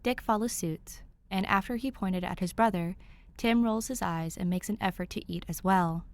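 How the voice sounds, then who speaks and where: A woman reading fan fiction aloud as an expressive story narrator. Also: medium pitch, normal speed.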